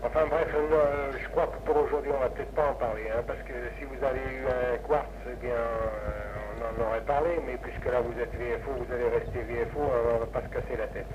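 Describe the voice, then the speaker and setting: A muffled, noisy 1960s amateur radio recording of a Frenchman in a casual contact. His pitch sits in the middle range for a man. He rambles along, hesitating and repeating himself as he talks to a fellow operator. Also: steady tone, brisk, hardly any pauses.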